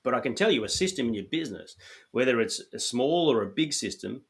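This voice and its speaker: A man's voice: mid-range voice, animated, quick pace, fairly bright tone, husky. A man from Australia with an Australian accent, hosting a podcast in an upbeat, coaching way and often saying "you know". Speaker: podcast host. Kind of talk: casual podcast chat.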